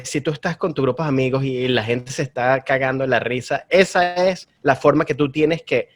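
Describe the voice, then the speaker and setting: A man with a Venezuelan accent talks in a loose, rambling way as a guest on an interview. His voice sits at an ordinary middle pitch, and his delivery is casual and unhurried. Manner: relaxed, rambling storyteller. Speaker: stand-up comedian guest. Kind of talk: casual conversation.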